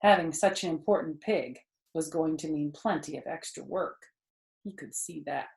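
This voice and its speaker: An older woman reading a children's storybook aloud, with expressive, deliberate phrasing. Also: low-pitched, vocal fry. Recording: echoey room.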